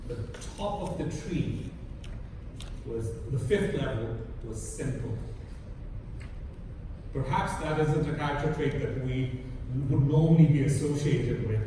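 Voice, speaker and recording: A middle-aged man from Kenya gives a conference talk, stumbling over restarts and fillers. He is picked up from far off in a large hall with a long, ringing reverb. A steady background noise hums beneath his voice. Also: mid-range voice. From born in India, grew up in Kenya. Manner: halting, many restarts and fillers. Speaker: conference speaker. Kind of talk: prepared talk to an audience.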